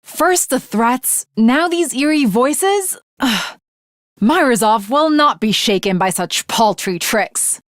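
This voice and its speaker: A woman's voice: fairly high pitch, animated, slow, no room sound, studio quality. A woman playing an earnest, haughty young female samurai in an anime video game, with formal, archaic, melodramatic diction. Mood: stern, resolute. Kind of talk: dramatic anime game character acting.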